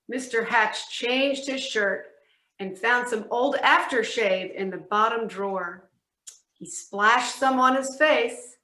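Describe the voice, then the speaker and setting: A woman narrating a scripted storybook tale with deliberate, expressive emphasis. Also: a little high. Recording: echoey room.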